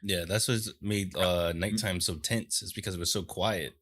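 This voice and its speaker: A man's voice: deep voice, steady tone, normal speed, fairly bright tone. A man co-hosting a casual podcast, talking in an informal, slangy way. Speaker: podcast co-host. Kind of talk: casual podcast chat about video games.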